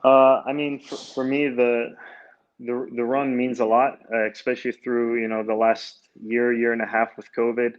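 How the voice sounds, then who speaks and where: A young man in his twenties, Greek his first language, talks in a relaxed, hesitant way with lots of restarts. His pitch stays level and narrow, and the video-call audio sounds dull and lo-fi. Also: normal speed. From United States. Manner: easygoing, hesitant with many restarts. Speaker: guest, amateur runner and goalkeeper. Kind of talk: casual interview answers.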